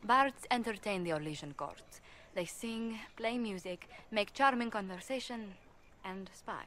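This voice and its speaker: An actress playing a gentle, earnest noblewoman ambassador in a video game, speaking politely and formally with careful wording. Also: medium pitch, a little slow, slight background noise, natural voice. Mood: composed, relieved. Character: poised, courteous noblewoman ambassador, former bard, gentle and earnest. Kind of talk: video game cutscene acting.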